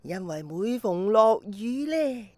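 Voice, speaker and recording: A woman's voice: mid-range voice, big pitch swings, mellow, no echo. An actress playing an elderly grandmother in a radio drama, telling stories to a small child in Hong Kong Cantonese with nostalgic, playful fondness. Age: older. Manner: slow, wistful storytelling to a small child.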